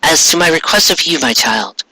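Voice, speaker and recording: A man voicing a solemn, gentle queen with a formal, measured, motherly manner in a fan fiction reading. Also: a little high, expressive, breathy edge, no echo, exaggerated voice, rough recording.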